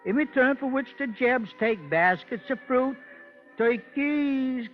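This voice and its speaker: High-voiced stammering hustler. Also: male voice, expressive, takes it slowly, full character voice. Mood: evasive, pleading. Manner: stammering, hesitant, mock-genteel. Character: nervous, wheedling small-time raffle hustler, male. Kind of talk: old-time radio crime drama acting. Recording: muffled old radio recording, music behind.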